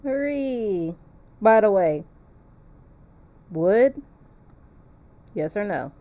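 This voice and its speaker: A woman chats casually while streaming a game, joking playfully as she goes. Her voice is mellow and soft-edged, and she takes her time with an unhurried pace. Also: mid-range voice, lively intonation. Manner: playful, joking, crude humor. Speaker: livestreamer. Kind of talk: casual game-stream chat and reading aloud.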